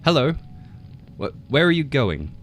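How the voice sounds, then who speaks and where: A man's voice: medium pitch, sing-song, a little slow, a bit bright, voice pushed up. A cocky little frog who calls himself king, acted out by a male storyteller. He brags theatrically in a raised, smug voice, a storybook character with some noise behind him. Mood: startled. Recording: noticeable background noise.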